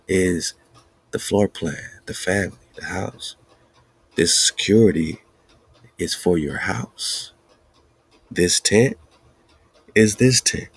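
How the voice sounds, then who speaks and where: A podcast host speaking in a slow, emphatic, repetitive preaching style with a husky voice. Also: male voice, low voice, dry recording. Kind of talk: casual preaching-style monologue.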